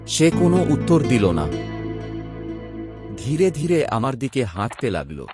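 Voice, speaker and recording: A man telling a first-person horror story in a hushed, dramatic way. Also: medium pitch, sing-song, measured pace. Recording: spooky music bed under narration.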